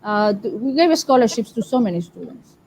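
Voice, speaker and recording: Earnest woman with a high voice. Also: animated, a little mellow, slight background noise, rough recording. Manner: earnest, measured, code-switching. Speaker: guest, linguistics professor. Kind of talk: interview answers.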